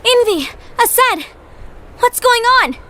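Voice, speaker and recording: Anxious young game heroine: piercingly high, very slow, faint noise. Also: female voice, expressive, bright, forceful tone, exaggerated voice. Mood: alarmed. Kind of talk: animated game cutscene acting.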